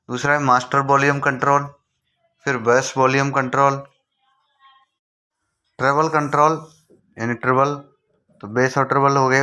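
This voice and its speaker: Clear, bright-voiced Indian man teaching. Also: medium pitch. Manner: repeats and rephrases points, often checking in with listeners. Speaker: technical instructor. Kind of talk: informal explanatory tutorial.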